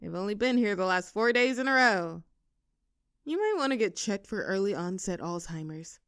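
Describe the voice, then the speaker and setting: A woman playing a popular high school cheerleader bully with a hidden soft side in an audio roleplay, teasing and sarcastic. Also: expressive, normal speed, clean tone, dry recording, studio quality. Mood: mocking, bored. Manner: teasing, sarcastic, with flustered stammers when cornered. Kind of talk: audio roleplay acting, one side of a dialogue.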